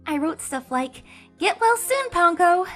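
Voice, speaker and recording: A woman's voice: very high voice, sing-song, measured pace, fairly bright tone, soft background music, heavily acted. A woman voicing an earnest, cheerful young female defense lawyer who speaks with energy and sometimes breaks into emotional pleas. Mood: wistful. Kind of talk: fan dub voice acting.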